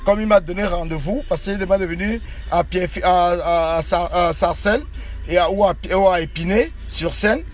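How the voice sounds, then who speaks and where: A man's voice: high voice, normal speed, faint music behind. A man from Cameroon livestreaming while driving through the city, boastful and defiant, repeating himself, on a phone mic. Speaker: live streamer. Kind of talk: live stream while driving. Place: inside a car in the city.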